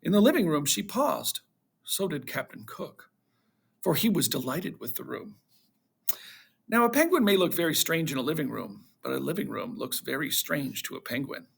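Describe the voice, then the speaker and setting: A man reading a children's storybook aloud to schoolchildren in an animated narrating style. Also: on the high side, normal speed, vocal fry, husky.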